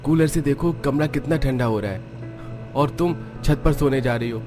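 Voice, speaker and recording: A man's voice: medium pitch, normal speed, music in the background, voice pushed up, close to an everyday voice. A man voicing a young husband in a cartoon story, exclaiming and questioning with concern for his wife. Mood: concerned, surprised. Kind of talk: cartoon story character acting.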